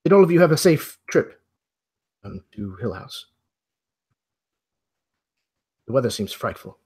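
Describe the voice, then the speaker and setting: A man playing an aging, courteous host of a mansion in a tabletop game, speaking in a halting, apologetic and formally polite way. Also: medium pitch, very animated, darkish tone, breathy edge. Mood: genial. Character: aging, courteous, flustered old mansion host in plaid suit. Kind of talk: improvised roleplay dialogue.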